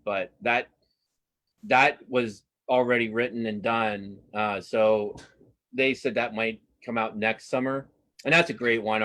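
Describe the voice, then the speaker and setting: A man's voice: on the low side, bright, forceful tone. A middle-aged man chatting casually as an interview guest, enthusiastic and often repeating words for emphasis. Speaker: guest cartoonist and comics writer.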